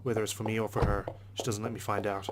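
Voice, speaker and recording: A man's voice: fairly low pitch, flat delivery, fast, noisy background. A man reading visual novel narration aloud as a let's player.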